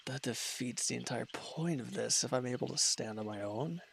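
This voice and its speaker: A man playing a wounded, irritable villain in an audio roleplay, gruff and curt but grudgingly caring, with an exasperated, grumbling delivery. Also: mid-range voice, normal speed, fairly bright tone, a little hiss or hum. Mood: pained, exasperated. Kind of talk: scripted audio roleplay acting.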